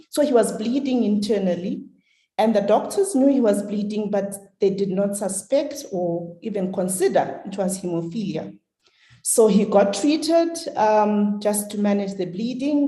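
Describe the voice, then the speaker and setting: Middle-aged woman from Zimbabwe, slow and measured, warm mellow tone, roomy sound. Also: mid-range voice. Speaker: hemophilia carrier sharing her story. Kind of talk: webinar talk.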